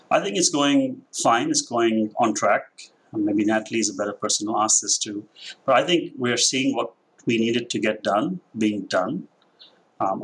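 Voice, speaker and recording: A man in his forties with an Indian accent softened by years abroad, answering podcast questions about his business. His voice sits low and slightly husky, and he thinks out loud with frequent uh's and restarts. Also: rough recording. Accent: Indian, with a mix of international influences. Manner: measured, thoughtful, with frequent uh fillers and restarts. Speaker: company division president. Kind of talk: corporate podcast interview.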